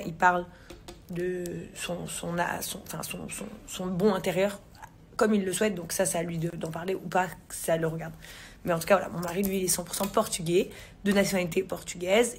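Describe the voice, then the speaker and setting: A woman's voice: fairly low pitch, a little hiss or hum. A woman in her thirties, born in England, chatting casually to her followers into a phone camera in a large living room, with lots of fillers, restarts and slang. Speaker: vlogger. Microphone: phone camera at arm's length.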